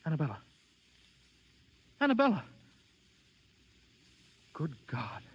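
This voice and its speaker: An actor playing a brisk, gallant young gentleman in a 1930s radio drama, speaking emphatically. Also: fairly high pitch, wildly swooping pitch, full character voice. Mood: alarmed. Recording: dull lo-fi 1930s radio broadcast.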